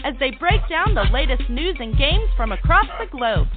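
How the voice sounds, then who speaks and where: A woman's voice: high-pitched, very animated, moderate pace. A woman announcer reading a scripted radio show intro. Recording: thin phone-like audio under music.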